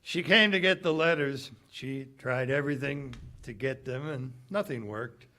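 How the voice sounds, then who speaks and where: A man's voice: medium pitch, unhurried, bright, forceful tone. A man playing a tough, world-weary cynic with a terse manner in 1940s radio drama. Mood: resigned. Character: tough, world-weary, self-sacrificing American male cynic.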